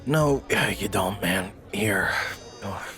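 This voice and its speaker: A drunken, grieving father in an audio drama. He talks slowly, with weary, profane sarcasm and deep bitterness, over prominent music. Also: expressive, fairly bright tone. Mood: gruff, dismissive.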